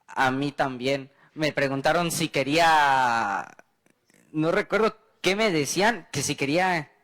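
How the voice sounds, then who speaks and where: A man's voice: fairly high pitch, a little slow, fairly bright tone. A man in the audience, chatting and telling a story about himself. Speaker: audience member sharing an anecdote.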